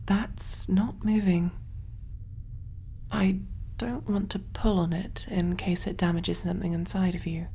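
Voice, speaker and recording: Casting: woman as motherly alien medic for sci-fi ASMR roleplay. Low-pitched, soft and whispery, gentle, reassuring, over a noisy ambient bed. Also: fairly even intonation, a little slow. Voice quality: soft, breathy, often whispery. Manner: gentle, reassuring, musing aloud while working. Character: kind, motherly female alien surgeon rescuing a human.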